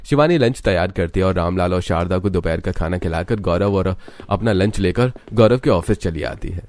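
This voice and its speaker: A man's voice: deep voice, normal speed, darkish tone. A man narrating a scripted cartoon story.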